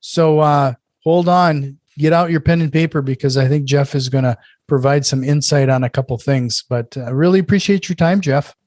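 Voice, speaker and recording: A man's voice: a little high, steady tone, moderate pace, darkish tone, no echo, studio quality. A man hosting an interview podcast, friendly and casual, often stumbling and restarting his sentences. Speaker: podcast host.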